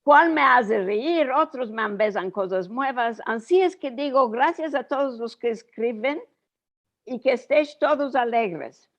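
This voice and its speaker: A woman's voice: fairly high pitch, lively intonation, a little slow. An older woman speaking Ladino as a guest, reading written messages aloud in a storytelling manner. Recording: dull lo-fi sound.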